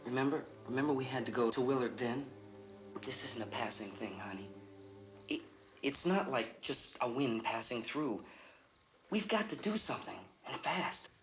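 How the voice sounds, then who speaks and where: An actor playing an earnest young boyfriend in a 1960s horror film, coaxing and insistent. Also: male voice, medium pitch, animated, moderate pace, highs cut off, close to an everyday voice. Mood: urgent. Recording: old film soundtrack with music.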